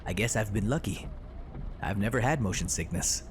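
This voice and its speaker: A man plays an eager, cocky young soldier in a video game. His voice is fairly low, with faint ambient noise behind it. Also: lively intonation, normal speed, close to an everyday voice. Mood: upbeat, restless. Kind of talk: video game character dialogue.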